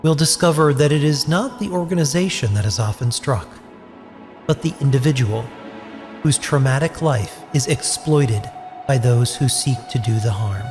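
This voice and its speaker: A man's voice: mid-range voice, animated, normal speed, darkish tone. A man narrating a scripted drama introduction in a solemn, theatrical style. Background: music.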